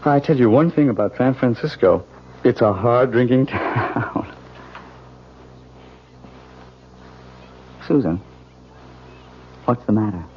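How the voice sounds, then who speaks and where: A man's voice: medium pitch, a little slow, natural voice. A man playing a brash, bewildered new husband in a 1970s radio drama, with emphatic, exclamatory delivery. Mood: confessing, jovial. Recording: muffled, hissy vintage radio recording.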